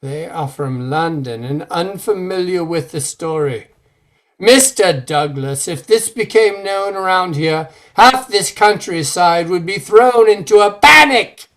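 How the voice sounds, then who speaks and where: An actor playing a solemn village preacher in an old-time radio drama, speaking in a grave, measured, formal way. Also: male voice, sits high, a bit bright.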